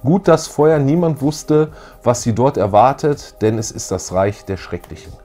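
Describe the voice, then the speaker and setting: A man's voice: medium pitch, lively intonation, moderate pace, dark tone, faint music behind. Middle-aged man chatting as enthusiastic fan. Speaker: co-host of retro fan show. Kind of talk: casual enthusiastic fan chat. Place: small room full of collectibles, indoors.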